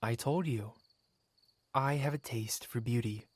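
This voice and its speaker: A man's voice: mid-range voice, a little slow, slightly rough. A man playing a wealthy, covetous dragon in human form, speaking eloquently and formally in a theatrical ASMR roleplay monologue. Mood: seductive. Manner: eloquent, formal, courtly, self-satisfied.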